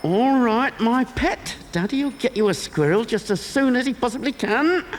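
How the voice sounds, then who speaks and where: Very high-voiced, fussy doting father. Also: animated, moderate pace. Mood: indulgent. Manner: fretful, fussy, pleading. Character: doting, indulgent, blustering rich father. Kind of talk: theatrical children's audio drama acting. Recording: faint hiss, 1990 cassette dramatisation.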